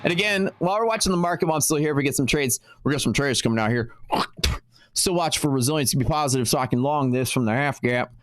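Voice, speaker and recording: A man's voice: mid-range voice, quick pace, faint music behind. A man hosting a live trading stream, chatting in an upbeat, neutral broadcaster manner. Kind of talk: casual live stream chat.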